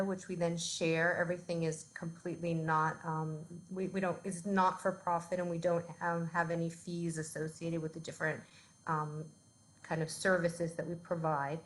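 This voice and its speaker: A woman's voice: fairly low pitch, poor audio. A woman giving a talk as a guest on a video call, chatty and filled with ums and likes. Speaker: guest speaker.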